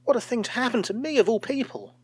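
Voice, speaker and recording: A man's voice: very high-pitched, animated, fast talker, husky, no echo, voice pushed up, heavily acted. A man voicing a vain, self-important man in charge who is privately insecure, in a storybook tale. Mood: panicked. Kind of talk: storybook character voice.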